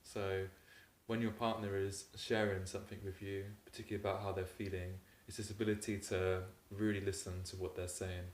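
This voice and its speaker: Man, low voice, flat delivery, calm advice talk, echoey room, faint background hiss. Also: a little fast, hardly any pauses. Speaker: relationship coach.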